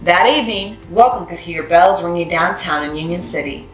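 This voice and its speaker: A woman's voice: lowish voice, normal speed. A woman narrating a children's story expressively, as a read-aloud host. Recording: roomy echo, music behind.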